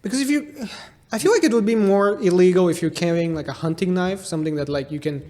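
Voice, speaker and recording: A man's voice: high-pitched, fast, dark tone. A man co-hosting a comedy podcast in casual, playful banter, riffing on jokes and acting out little bits.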